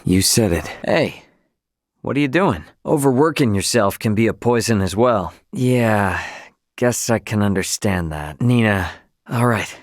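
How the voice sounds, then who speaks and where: A man's voice: fairly low pitch, dry recording, lightly acted. Man acting as brash young captain, studio-dry voice. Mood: relaxed, friendly. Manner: informal, slangy, plain-spoken. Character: brash, casual young starship captain, cocky but loyal man. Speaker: video game voice actor. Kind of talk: English game dub character dialogue.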